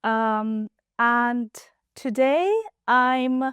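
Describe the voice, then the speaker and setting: A young woman in her twenties from Cyprus speaks as an interview guest, relaxed and chatty. Her voice is smooth and clear. The sound is dry and close. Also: a little high, very slow, no room sound. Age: 20s. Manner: relaxed, chatty, laughs easily. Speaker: guest, app founder. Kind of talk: casual interview chat.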